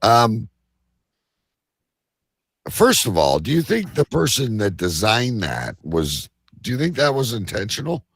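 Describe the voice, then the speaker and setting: A man's voice: mid-range voice, wildly swooping pitch, measured pace, long pauses, husky, no room sound, clean studio sound. A man hosting a live talk show, reading out odd news and bantering casually with crude jokes. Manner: crude, joking.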